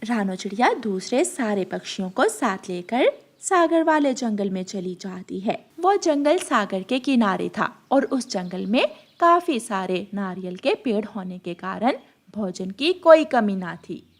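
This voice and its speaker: A woman's voice: mid-range voice, big pitch swings, measured pace. A woman reading scripted narration for a children's cartoon story, as the storyteller.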